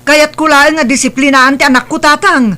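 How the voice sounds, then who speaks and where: A woman's voice: high voice, fairly even intonation, moderate pace, pushed and bright. A woman voicing a harsh, strict middle-aged mother who speaks in a scolding, dismissive way.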